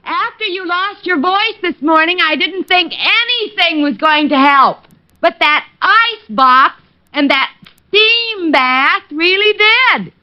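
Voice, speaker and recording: High-voiced, doting radio sweetheart. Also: female voice, lively intonation, slow pace, heavily acted. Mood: affectionate. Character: detective's doting, teasing, well-bred girlfriend. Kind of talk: 1940s radio acting. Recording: dull old radio recording.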